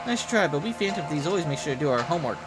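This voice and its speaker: A man's voice: fairly high pitch, lively intonation, very fast, over loud music, close to an everyday voice, poor audio. A man voicing a scheming, theatrical vampire woman turned phantom thief in a video game. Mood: persuasive. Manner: confident, theatrical.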